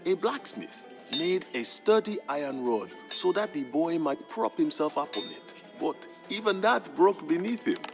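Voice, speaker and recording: Solemn griot storyteller, deliberate theatrical game narration, mid-pitched, widely swooping delivery. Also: male voice. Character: proud West African griot storyteller, solemn bard of royalty. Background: music.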